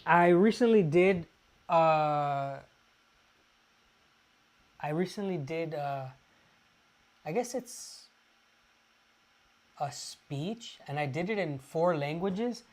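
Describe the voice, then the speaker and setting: A man from Los Angeles, chatting casually to viewers on a livestream and pausing often with fillers. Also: fairly high pitch, lively intonation. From Los Angeles, California. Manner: halting, restarts often with many "uh" fillers. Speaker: livestream host.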